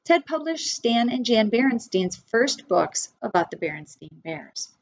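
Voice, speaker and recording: A middle-aged woman reading a children's picture book aloud expressively, with deliberate phrasing for young listeners. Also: fairly high pitch, sing-song, a little hiss or hum. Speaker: read-aloud storyteller for children. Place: wood-panelled room indoors. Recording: slightly dull webcam sound.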